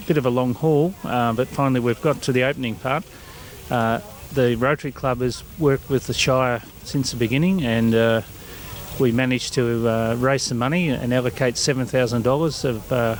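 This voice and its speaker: An Australian man speaks in a local news interview as a club president. He talks plainly, with some fillers and restarts, at a middle pitch. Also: moderate pace.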